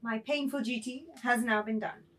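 A woman's voice: fairly high pitch, normal speed, a bit bright, lightly acted. A woman playing a grim, businesslike hangman, dryly dutiful, in a dramatised literary reading. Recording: distant from microphone.

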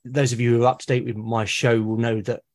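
A British man speaking as a podcast guest in casual conversation, haltingly and often repeating words as he thinks aloud. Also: mid-range voice, level tone. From Britain. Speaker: podcast guest, solo roleplaying gamer.

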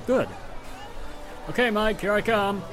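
An actor playing a considerate, businesslike police inspector in a 1940s-style radio drama. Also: male voice, high voice, unhurried, over music, crowd noise. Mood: brisk, commanding.

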